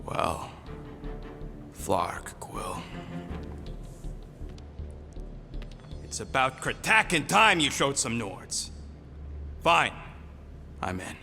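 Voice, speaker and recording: A man's voice: medium pitch, sing-song, takes it slowly, creaky, long pauses, bright, forceful tone, faint music behind, heavily acted. A man voicing a cranky, wisecracking small furry creature mechanic in a video game, with sarcastic street-tough speech. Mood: gruff, defiant.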